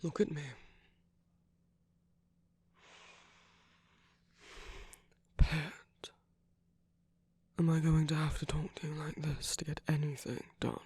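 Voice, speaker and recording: An ASMR performer acts out a commanding villain who is tender and protective toward a lover. The voice is breathy and gravelly, often falling to a whisper. It is recorded close and dry, with deliberate, coaxing phrasing. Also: medium pitch, level tone, a little mellow, dry recording. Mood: stern, coaxing. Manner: slow, deliberate, coaxing, with long pauses. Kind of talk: ASMR roleplay, scripted intimate monologue.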